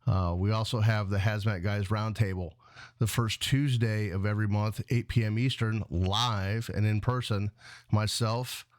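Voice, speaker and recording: A man's voice: on the low side, steady tone, a little slow. A middle-aged man hosting a show in casual chat, rambling a little with a jokey, hesitant delivery. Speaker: show host.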